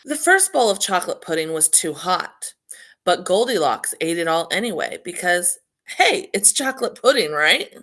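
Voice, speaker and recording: A woman reads a children's storybook aloud with lively, varied intonation. Her manner is playful and tongue-in-cheek, using emphatic pauses to land each line. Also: on the low side. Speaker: school storybook reader.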